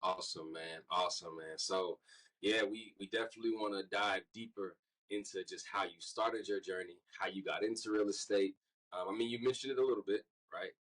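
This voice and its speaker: A man co-hosting a podcast, speaking in a relaxed and loose way that is full of "um" and "man". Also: low-pitched, brisk, poor audio. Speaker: podcast co-host. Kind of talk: casual interview hosting.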